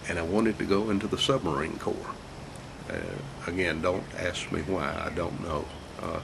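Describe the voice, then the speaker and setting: An older man with a congested, croaky voice, reminiscing about his life alone at home in a halting, rambling way with small chuckles. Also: low voice. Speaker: vlogger telling his life story. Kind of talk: casual reminiscing monologue. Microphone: camera mic. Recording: noisy home camera recording.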